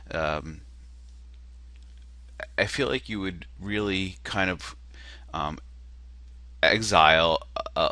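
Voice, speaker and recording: A man's voice: sits low, bright, forceful tone, no echo. A man with a nasal voice, casually walking through a web page on screen, self-deprecating and hesitant with many fillers. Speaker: marketer critiquing a landing page. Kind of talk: casual screen-share walkthrough.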